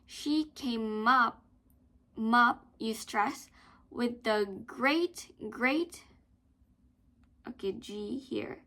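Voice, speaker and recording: Young woman, English teacher, slow deliberate delivery, bright clear tone, repeats phrases to model stress. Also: a little high. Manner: drills phrases slowly, repeating words with stress. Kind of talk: slow, careful pronunciation lesson.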